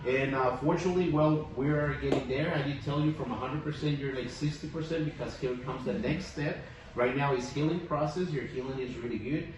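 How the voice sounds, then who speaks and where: Mexican-accented dentist in an echoey room. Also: male voice, medium pitch, fairly quick. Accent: Mexican Spanish. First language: Spanish. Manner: friendly, rambling, tag questions like "okay?" and "you know?". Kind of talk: casual clinic testimonial chat. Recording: echoey room, far from mic, audible noise.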